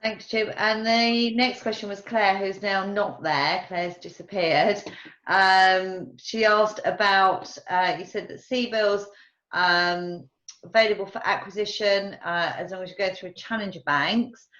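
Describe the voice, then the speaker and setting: A woman's voice: medium pitch, fairly even intonation, a bit bright. Woman host reading out chat questions, echoey room, kinda muffled. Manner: brisk, reads out questions. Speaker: webinar host. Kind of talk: moderating webinar questions.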